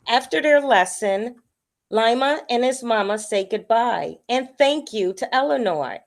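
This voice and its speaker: A woman reading a picture book aloud to children in a deliberate storytelling manner. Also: fairly high pitch, animated, gravelly.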